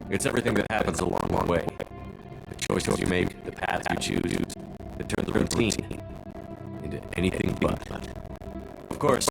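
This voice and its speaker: A man playing a seasoned space soldier in a video game, recounting past missions in grave, measured, clipped phrases. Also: sits low, creaky, poor audio. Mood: wry, reflective. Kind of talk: scripted first-person game narration.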